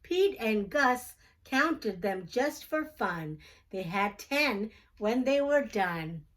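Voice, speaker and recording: A woman reads a children's story aloud at an unhurried pace. Her voice is high and swings expressively, with a sing-song, emphatic delivery that suits storytime. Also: animated, fairly bright tone. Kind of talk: slow expressive read-aloud of a picture book for children.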